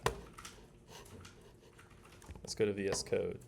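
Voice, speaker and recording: A young man presenting a casual software tutorial, talking informally as he demonstrates, with keyboard typing. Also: sits low, little pitch movement, unhurried, creaky. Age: young adult. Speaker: workshop presenter. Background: faint room noise, keyboard typing.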